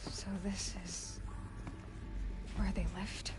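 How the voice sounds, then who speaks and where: A woman voicing a bold, curious young hunter in a video game, asking questions in an inquisitive, often hushed and whispered manner. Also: mid-range voice, flat delivery, slow pace, gravelly, loud music behind the voice.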